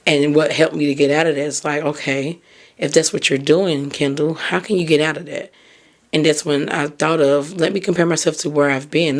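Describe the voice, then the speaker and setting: A hoarse woman hosting a podcast, talking in a candid, encouraging way and peppering her speech with "like" and "you know". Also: low-pitched. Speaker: podcast host. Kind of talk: casual motivational talk.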